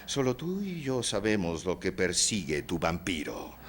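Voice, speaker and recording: An actor playing a fatalistic Victorian novelist in a theatrical drama, solemn and declamatory, with darkly taunting, grandiloquent speech. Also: male voice, low-pitched, sing-song, a little slow, full character voice. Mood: brooding. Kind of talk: theatrical stage-drama dialogue.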